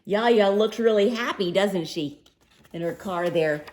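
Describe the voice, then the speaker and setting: A woman reading a children's story aloud with expressive, engaging narration, as if talking to young listeners. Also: mid-range voice, unhurried. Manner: asks listeners questions, animated. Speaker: storybook reader.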